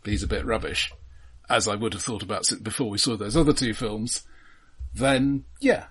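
Man, low pitch, husky voice, understated, measured, casual film review podcast. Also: a bit bright.

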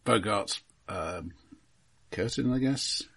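A man discusses films casually as a podcast co-host. His voice is a little low and rough-edged, and he feels his way through sentences, correcting himself as he goes. The recording is dry and close. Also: lively intonation, slow, fairly bright tone, no echo.

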